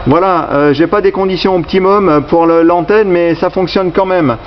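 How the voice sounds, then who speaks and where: Guy with a highish voice doing ham radio chat, stumbles and repeats words, muffled sound w some noise. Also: steady tone. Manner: hesitant, halting, repeats words. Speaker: amateur radio operator. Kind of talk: radio contact chat with call signs. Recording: dull, noisy radio recording.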